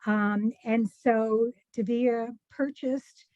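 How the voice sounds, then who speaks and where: A woman with a mellow, slightly high voice talks casually on a video call, pausing on fillers. Her audio is dull and lo-fi. The sound is dry. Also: fairly even intonation, no echo. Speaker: guest.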